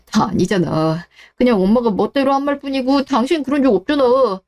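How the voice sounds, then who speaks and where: A woman's voice: a little high, lively intonation, a little fast, darkish tone. A woman voicing an earnest, emotional husband, loyal to his wife and embittered, in a melodramatic radio drama. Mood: pleading.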